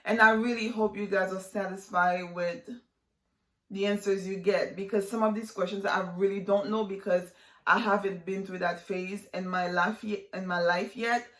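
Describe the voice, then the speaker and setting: A woman's voice: medium pitch, fairly even intonation, moderate pace, fairly bright tone. Chatty woman in her twenties, roomy sound. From Haiti. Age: 20s. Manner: chatty, lots of fillers and likes. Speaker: vlogger. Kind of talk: casual vlog chat to camera.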